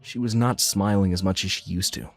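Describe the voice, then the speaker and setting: Give this to a man playing an earnest diner owner telling his own story. His voice sits fairly low, and he reads as a scripted manga-dub narrator. Soft music runs underneath. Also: steady tone, brisk, creaky. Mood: matter-of-fact.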